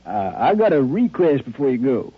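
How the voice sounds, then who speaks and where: A man's voice: medium pitch, sing-song, normal speed, slightly rough. Brash infantryman, 1950s radio drama: eager, informal, swinging intonation, tinny vintage sound. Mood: sheepish, insistent. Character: eager, cocky army soldier hungry for combat. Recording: narrow, faintly noisy old radio recording.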